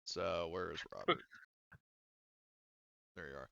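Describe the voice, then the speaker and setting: A man acting as game master, casually running a tabletop game and thinking aloud in a halting way, on slightly dull audio. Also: low voice, gravelly, fairly bright tone, no echo. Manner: halting, talks through rules while thinking aloud.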